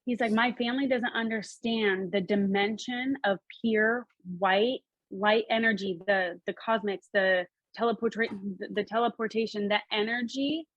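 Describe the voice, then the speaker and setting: A woman working as a psychic medium, relaying messages in a halting, repetitive, animated way. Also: mid-range voice, no room sound, highs cut off. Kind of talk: spoken reading, relaying messages in quotes.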